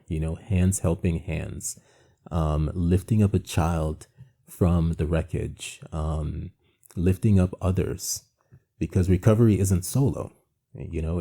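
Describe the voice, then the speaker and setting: A man's voice: sits low, creaky, mellow. A man from Jamaica hosting an art presentation, talking in a conversational, reflective and earnest way with frequent asides. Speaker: art show host and founder.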